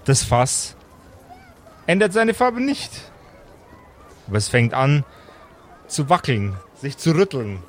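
A man narrating an improvised tabletop game as game master, dramatically, with measured and suspenseful pauses. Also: on the high side, huge pitch swings, a little hiss or hum.

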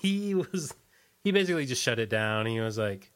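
A man speaking as an interview guest, casually recollecting in a loose, halting way and often trailing off. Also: mid-range voice, sing-song.

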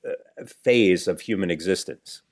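A man with an American accent talking reflectively in measured phrases as a co-host on a casual podcast. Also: low-pitched.

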